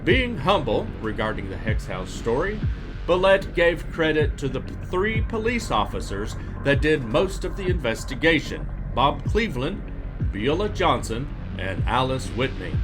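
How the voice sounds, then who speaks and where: A man reading a scripted documentary narration in a measured, factual storytelling manner. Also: on the high side, sing-song, poor audio. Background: music.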